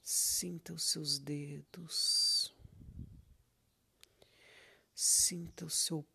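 Very slow, breathy, whispering Brazilian meditation guide. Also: medium pitch, flat delivery, dry recording. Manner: soothing, gentle step-by-step prompts with long pauses.

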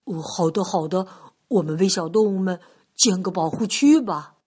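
Bashful grown men in a children's story speak in a lowered, slightly husky voice, as a woman reader puts them on. They hesitate and sound apologetic. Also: expressive, moderate pace, darkish tone. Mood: sheepish. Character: sheepish grown-up male developers.

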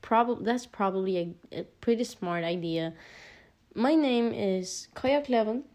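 A woman's voice: a little high, expressive, measured pace, darkish tone. A sixteen-year-old girl from Norway with a Norwegian accent, hosting a casual solo podcast, rambling with lots of fillers and self-conscious asides. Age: teen. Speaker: podcast host.

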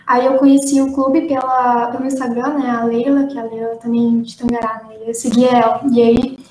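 A woman's voice: on the high side, little pitch movement, moderate pace, mellow. A twenty-year-old woman from Mato Grosso with a Brazilian accent, a student casually introducing herself. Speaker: book club member, medical student. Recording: echoey room with faint noise.

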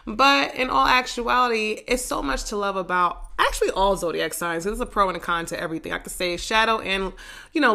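A woman hosting a casual solo podcast from her living room, raspy and congested from a head cold, sassy and slangy with frequent laughs. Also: on the low side, sharp tone. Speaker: podcast host.

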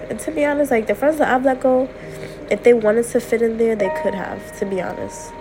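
A woman's voice: fairly high pitch, brisk, noisy background. A young woman hosting a personal podcast, talking candidly and repeating herself as she vents late at night while getting over a cold. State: recovering from a cold. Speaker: podcast host.

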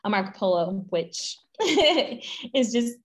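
A woman's voice: fairly high pitch, expressive, takes it slowly. A woman in her thirties, raised in Guatemala and Mexico, chatting as a podcast guest, bubbly and rambling with frequent laughter. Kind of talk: casual interview chat.

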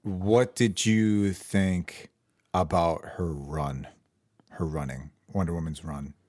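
A man's voice: low voice, slow pace. A man hosting a casual movie chat, teasing his friends and leading the questions. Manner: animated, teasing, leads the questions.

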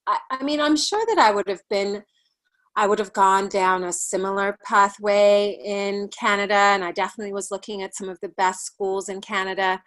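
A woman in her forties from Ghana, a plastic surgeon, telling her life story in an interview with many pauses and soft laughs. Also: mid-range voice. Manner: thoughtful, with frequent ums and soft laughs.

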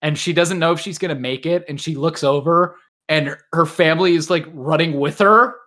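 A man's voice: on the high side, fairly quick, sharp tone. A man hosting a casual podcast chat, teasing and swearing freely, with lots of slang. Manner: teasing, profane, heavy use of slang like "dude". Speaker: podcast host.

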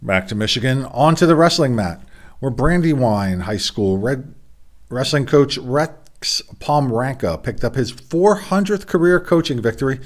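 A middle-aged man with a hoarse voice, hosting a casual sports roundup from a home studio, with rambling, self-deprecating asides. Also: medium pitch, expressive, measured pace. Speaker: sports podcast host.

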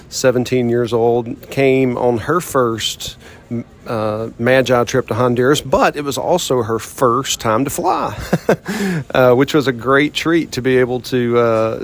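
A man hosts an interview, asking friendly, conversational questions and introducing his guests. His voice is mid-pitched and easy, with an occasional filler as he moves between topics. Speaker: podcast host. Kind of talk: podcast interview.

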